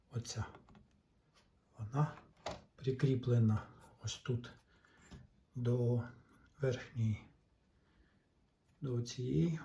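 A man giving a casual hands-on repair walkthrough, halting and thinking aloud in short phrases. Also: mid-range voice, dark tone, a little hiss or hum. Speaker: hobbyist repairer giving a demonstration.

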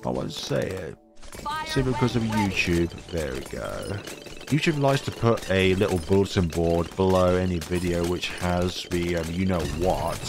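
A very low-pitched man, thirty, with a cold that makes his deep voice deeper still. He talks calmly over his own gameplay and drifts into chatty tangents. Game music plays clearly under his desk-mic voice-over. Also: unhurried. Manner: calm, rambling, chatty tangents. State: has a cold. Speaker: gaming channel host. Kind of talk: relaxed gameplay commentary.

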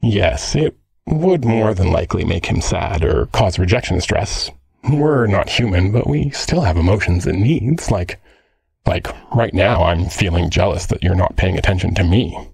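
Man playing a cheeky, gravelly android boyfriend. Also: sits low, sing-song, a little slow, darkish tone, no room sound, heavily acted. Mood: jealous, sulky. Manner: cheeky, slangy, sardonic. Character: teasing, jealous, chill-delinquent male android boyfriend. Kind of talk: ASMR boyfriend roleplay.

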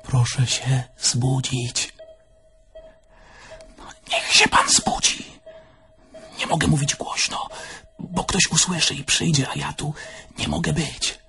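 A slow, halting, evasive man. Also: medium pitch, vocal fry, pushed and bright, faint music behind, exaggerated voice. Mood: hushed urgency. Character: hesitant, evasive, nervous man tiptoeing around bad news. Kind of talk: theatrical radio play acting.

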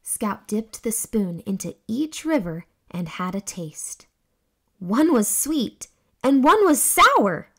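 A young woman reads a children's story with a high, lively voice. Her phrasing is slow and deliberate, with expressive emphasis as she narrates for young listeners. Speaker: children's read-aloud host. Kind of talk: animated scripted children's storybook reading.

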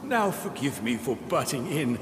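A man's voice: medium pitch, very animated, normal speed, lightly acted. Merry old spirit played by a man, echoing. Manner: leisurely, mannered, genial. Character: merry, courteous ancient male goat-like spirit teacher. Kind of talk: video game cutscene acting. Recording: long echoing reverb, noisy background.

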